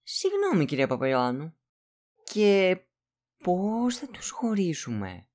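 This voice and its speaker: A woman's voice: lowish voice, huge pitch swings, darkish tone. A woman playing a gullible, fawning male assistant in an audio drama, deferential and naive. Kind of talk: Greek audio drama acting.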